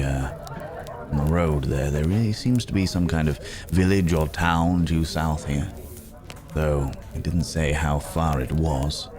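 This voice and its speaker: A man performs a world-weary gentleman werewolf with an extremely low voice. He talks in formal, genteel phrases, musing and pausing with small hums. It is an intimate ASMR fantasy roleplay addressed to a companion. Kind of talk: ASMR roleplay acting, spoken to the listener.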